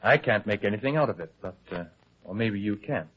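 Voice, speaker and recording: An actor playing a wisecracking, eager tabloid reporter in an old-time radio drama, glib, teasing and theatrical. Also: male voice, fairly low pitch, lively intonation, brisk, fairly bright tone. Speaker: newspaper reporter. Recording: dull lo-fi old radio recording.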